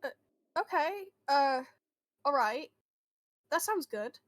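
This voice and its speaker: A woman playing a friendly but guarded boy who hears voices, halting and reassuring, in an improvised tabletop roleplay. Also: high-pitched, expressive, slight background noise. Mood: hesitant, guarded.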